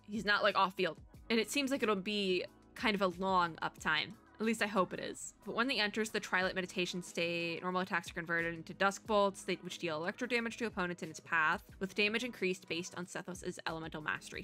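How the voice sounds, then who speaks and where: Bright-voiced chatty woman reacting over music. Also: medium pitch. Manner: chatty, gushing, rambling with slangy asides. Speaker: VTuber reaction host. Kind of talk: casual game reaction commentary.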